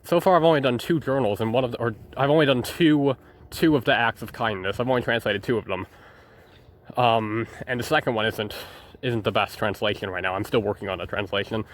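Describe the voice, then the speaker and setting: A man's voice: mid-range voice, fairly quick, slight background noise. A young man rambling through a personal journal vlog, hesitating and restarting often. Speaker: vlogger.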